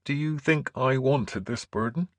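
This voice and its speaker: A man voicing a gentle, earnest scholarly bishop in an audiobook, with mild manners and a preacher's fervour. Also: mid-range voice, unhurried, dry recording, pitched above the performer's own voice, natural voice. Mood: earnest, fervent. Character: gentle, earnest scholarly priest turned bishop, quietly sly.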